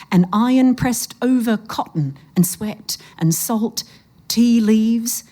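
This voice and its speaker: A woman's voice: lowish voice, dark tone. A woman narrating a suspenseful short story in a literary reading style.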